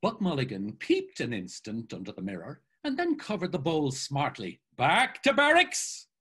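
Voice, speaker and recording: An older actor reading a novel aloud dramatically over a video call, with expressive, theatrical narration. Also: male voice, mid-range voice, moderate pace, breathy edge. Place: home room, video call. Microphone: webcam on video call.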